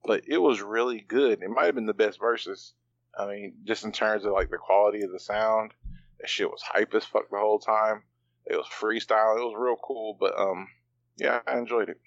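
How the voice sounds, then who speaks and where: A man's voice: lowish voice, fairly even intonation, normal speed, vocal fry, no room sound, highs cut off. A man co-hosting a podcast in casual chat, rambling loosely with plenty of fillers and slang. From part Bahamian. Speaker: podcast co-host.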